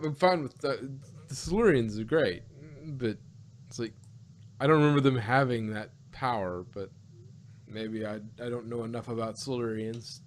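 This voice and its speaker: A man in casual conversation as a podcast co-host. His voice sits at an average male pitch. He talks in a halting, rambling way, doubling back on words as he reviews. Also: slight background noise.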